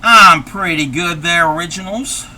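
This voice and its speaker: A man's voice: on the high side, measured pace, sharp tone, low-quality recording. A man voicing a chatty, forgetful old grandmother who rambles, repeats herself and reads viewer chat aloud while crafting on a livestream. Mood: rambling. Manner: rambling, loses her train of thought, repeats herself. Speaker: streamer.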